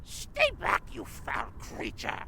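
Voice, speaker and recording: A woman voicing a creepy, ravenous old demon woman in an audio drama, with ominous, theatrical, archaic phrasing and a gravelly creak. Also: slow, bright, forceful tone, a little hiss or hum. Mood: defiant, menacing.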